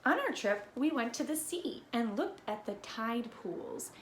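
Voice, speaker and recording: Slow, high-voiced woman reading a children's storybook. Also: sing-song. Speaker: read-aloud presenter. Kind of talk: expressive children's storybook read-aloud. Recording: echoey room with faint noise.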